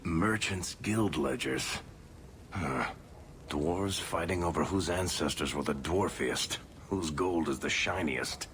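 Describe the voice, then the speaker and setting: A man's voice: extremely low pitch, slow, fairly bright tone, slight background noise, full character voice. A man voicing a wry, loyal dwarf rogue and storyteller in a video game cutscene, with a dry, sardonic manner. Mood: wry, musing.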